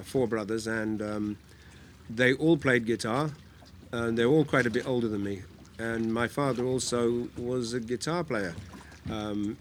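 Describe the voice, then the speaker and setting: A man from near London, a guitar teacher who tells relaxed, rambling stories on a podcast. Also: mid-range voice, a little hiss or hum. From England, near London. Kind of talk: podcast conversation. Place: on a boat in a harbour.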